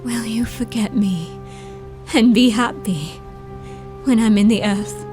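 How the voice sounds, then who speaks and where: A woman's voice: a little high, slow. A woman playing a passionate, dying young woman in a melodramatic audio drama, pleading and yearning. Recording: dramatic music behind the voice. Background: dramatic music.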